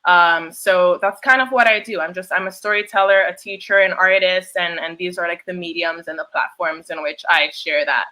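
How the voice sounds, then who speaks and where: A woman's voice: fairly low pitch, moderate pace, hardly any pauses, sharp tone, rough recording. A woman from New York speaking informally as a panelist, introducing herself and her work. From Bronx, New York, United States. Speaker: panelist, yoga teacher and cannabis educator.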